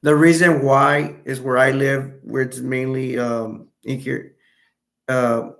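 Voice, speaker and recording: A young man from Texas chatting casually on a live stream, answering viewers in a rambling, halting way with many restarts. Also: medium pitch, flat delivery, creaky. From Texas, United States.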